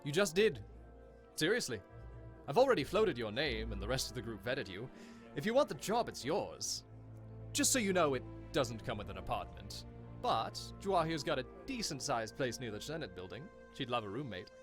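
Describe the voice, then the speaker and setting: A man playing a friendly, eager advocacy group leader making a persuasive pitch in an audio comic. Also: very animated, moderate pace, a bit bright. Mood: upbeat. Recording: music behind the voice.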